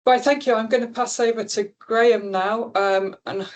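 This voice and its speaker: A woman hosting a funding webinar over a video call, explaining the rules in a relaxed, chatty way with frequent ums. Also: fairly high pitch, normal speed, lo-fi sound. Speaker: webinar host and principal investigator. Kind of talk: informal briefing.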